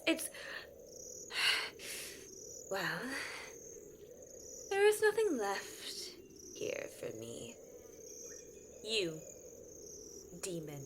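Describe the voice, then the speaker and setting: A woman's voice: on the high side, bright, forceful tone, a little hiss or hum, full character voice. A woman playing a haughty, bitter, selfish figure in a fantasy audio drama, scornful and imperious.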